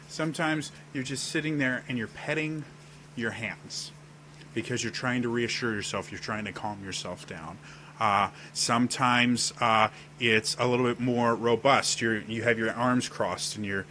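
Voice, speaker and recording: A man with a deep voice giving casual on-camera advice about role-playing, demonstrating gestures as he explains. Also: sharp tone, slight background noise. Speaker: role-playing tips video host. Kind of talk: casual instructional talk to camera. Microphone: webcam setup at desk.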